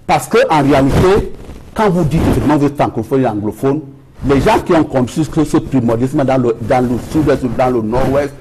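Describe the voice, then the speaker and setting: A man's voice: mid-range voice, brisk, background noise. A man from Cameroon, a guest on a political debate panel, pressing his point and repeating phrases for emphasis.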